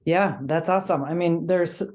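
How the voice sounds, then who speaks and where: Lady podcast host, deep voice, encouraging, asks long rambly questions. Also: moderate pace, a little mellow. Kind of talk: casual interview questions.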